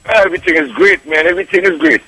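A man's voice: high-pitched, fairly even intonation, fast talker, bright, forceful tone, husky, dry recording, faint music behind, low-quality recording. A Trinidadian man in his fifties calling in to a radio show, talking with eager enthusiasm. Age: 50s. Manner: enthusiastic, keeps calling the host "boss". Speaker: caller. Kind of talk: phone-in radio testimonial.

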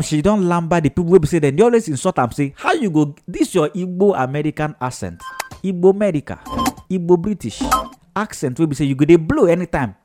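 A man with a Nigerian Pidgin accent, hosting a casual, teasing gossip show. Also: on the high side. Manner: animated, chatty, teasing. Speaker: radio show host.

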